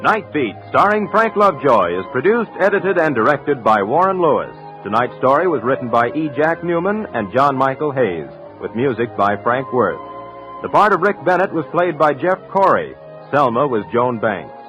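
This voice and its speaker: A man's voice: medium pitch, sing-song, normal speed, over music. A man announcing in scripted 1950s radio style, reading credits and programme promos. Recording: muffled 1950s radio recording.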